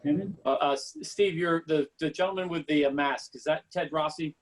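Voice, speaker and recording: A man's voice: on the high side, moderate pace, rough recording. A man hosting a club meeting over a video call, talking in a casual, friendly way. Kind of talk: casual chat.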